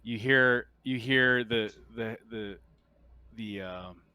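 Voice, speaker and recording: A man running a tabletop roleplaying game as game master, with a crisp, clear voice. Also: medium pitch, takes it slowly, sharp tone, no room sound.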